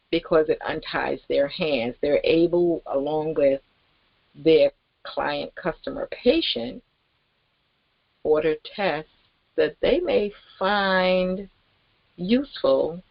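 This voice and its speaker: A woman giving an informal, rambling promotional talk as host, with halting, emphatic delivery and pauses mid-sentence. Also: low voice, vocal fry, no room sound, highs cut off. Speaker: network marketing promoter and host.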